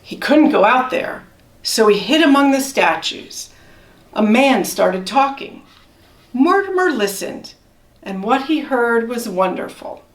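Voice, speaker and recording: Female storybook reader: higher-pitched, measured, expressive, recorded in a roomy space away from the mic. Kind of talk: children's storybook read-aloud. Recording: roomy echo, distant microphone.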